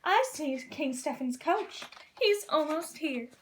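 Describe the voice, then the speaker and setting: Woman playing young prince, kindly, mild, concerned, high voice, children's storybook. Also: expressive, slow, slight background noise. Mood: excited. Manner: soft, kindly.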